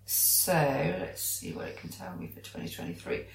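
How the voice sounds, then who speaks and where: A woman's voice: low voice, sing-song, moderate pace, vocal fry. A British woman co-hosting a podcast, chatting casually and enthusiastically. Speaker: podcast co-host. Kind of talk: casual chat. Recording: echoey room.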